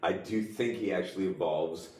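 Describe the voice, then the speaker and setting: Low-voiced man in an echoey room. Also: moderate pace, a little mellow. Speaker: podcast co-host. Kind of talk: casual discussion. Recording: echoey room, distant mic.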